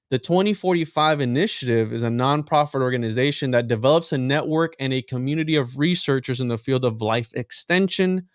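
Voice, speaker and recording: This is a man hosting a podcast in an informal, conversational way. His voice is clean and resonant, with a mid-range pitch and very little room sound. He speaks straight to his listeners, moving between casual talk and reading aloud. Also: smooth, no room sound, clean studio sound. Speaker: podcast host.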